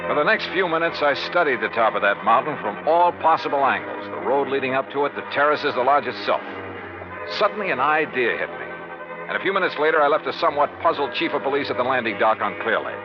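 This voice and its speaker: An actor playing a sharp, confident insurance investigator who narrates in brisk, wry 1950s radio detective style. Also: male voice, mid-range voice, expressive, natural voice. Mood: assured. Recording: muffled 1950s radio recording with music.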